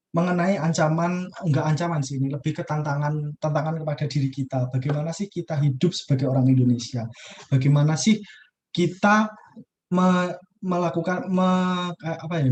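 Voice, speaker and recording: A man's voice: a little high, normal speed, darkish tone, a little hiss or hum. A young man, a university student, talking through a class discussion on a video call, casual and hesitant with many fillers and restarts. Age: young adult.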